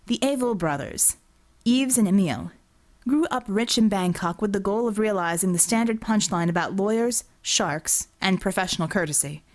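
A woman's voice: medium pitch, lively intonation, moderate pace. A woman reading a scripted work of fiction as an audiobook narrator.

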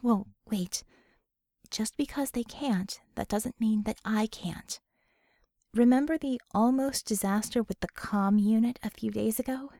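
A confident woman expert in a superhero story, acted by a female narrator. She is candid and urgent, explaining in everyday words with a fairly high pitch and very little room sound. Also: measured pace, warm tone, no room sound, clean studio sound. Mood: insistent. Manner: colloquial, explanatory.

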